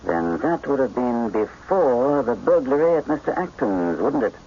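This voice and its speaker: Brisk gentleman detective, commanding, clipped, lively intonation. Dull radio-drama sound. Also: mid-range voice. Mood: calm, probing. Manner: commanding, clipped, formal and precise. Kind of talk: radio mystery drama acting. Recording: dull, noisy old radio drama broadcast.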